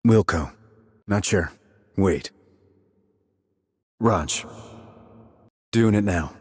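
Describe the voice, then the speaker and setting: A man playing a terse, calm military sniper in a video game, clipped and controlled, reporting in tactical radio calls. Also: no echo, studio quality, full character voice. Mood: controlled, urgent. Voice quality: low, husky. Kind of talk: tactical radio call acting.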